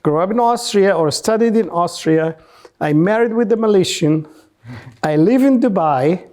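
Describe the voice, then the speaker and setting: A man from Egypt telling his story as an interview guest in non-native English, haltingly, repeating words for emphasis. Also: sits high, expressive, darkish tone. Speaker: podcast guest, researcher and businessman.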